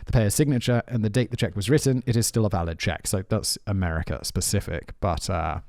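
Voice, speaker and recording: A man's voice: fairly low pitch, steady tone, fairly quick, gravelly, a little mellow, no room sound, studio quality. A man hosting a podcast, chatting casually and rambling, often saying "dude". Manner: rambling, spells things out, says dude often. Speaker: podcast host. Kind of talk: casual chat.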